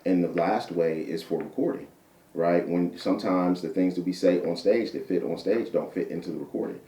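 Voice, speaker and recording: Deep-voiced guy chatting as a guest, keeps repeating bits and going "right?", lowish voice, echoey room. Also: fairly quick, warm tone. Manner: repeats himself, tags points with "right?". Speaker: guest poet. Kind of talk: casual interview chat. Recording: roomy sound from a distant mic.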